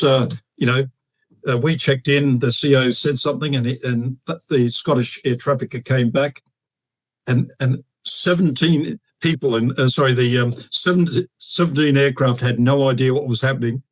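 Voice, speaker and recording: Halting Australian man in his sixties. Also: medium pitch, fairly even intonation, dry recording, poor audio. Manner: halting, with many fillers and restarts. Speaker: guest, retired air force officer. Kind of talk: casual storytelling interview.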